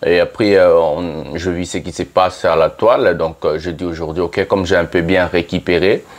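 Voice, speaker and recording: A man hosting a casual music commentary show, talking with a cold, full of fillers and throat clearing. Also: sits low, steady tone, moderate pace. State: has a cold. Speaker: YouTube music commentary host. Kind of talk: casual monologue to subscribers.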